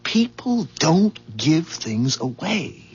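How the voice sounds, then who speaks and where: Rattled, suspicious husband for vintage radio drama: husky, halting, emphatic, widely swinging pitch, dull tape sound. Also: male voice, big pitch swings, faint music behind, full character voice. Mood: agitated, uneasy. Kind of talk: 1970s radio drama acting. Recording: dull, muffled 1970s radio recording.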